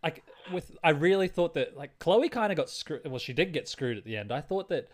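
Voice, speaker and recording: A man's voice: a little high, expressive, brisk. A man co-hosting a casual movie review chat, thinking aloud in an informal, loosely structured way. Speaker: podcast co-host.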